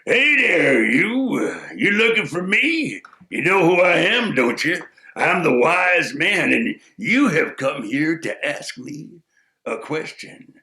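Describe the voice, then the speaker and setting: A self-assured old sage who drawls each word slowly and grandly, as a male storyteller puts him on. His voice is bright and carries a roomy echo. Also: fairly high pitch, big pitch swings, pitched above the performer's own voice, exaggerated voice. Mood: sly. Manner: slow and drawling, grandly pompous. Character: slow, self-assured old wise man on a hill.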